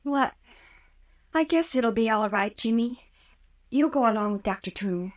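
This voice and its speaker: A woman acts a gentle young heroine in a 1940s American radio drama. Her fairly high voice swings expressively, girlish and conciliatory, with an anxious hesitance. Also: lively intonation, moderate pace, natural voice. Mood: anxious, pleading.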